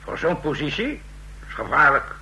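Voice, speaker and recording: A sober male army general in a radio drama. He sounds crisp and forceful, yet cautious and sceptical. Faint hum lies on the old recording. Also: fairly high pitch, very animated, normal speed, full character voice. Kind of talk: Dutch radio play acting.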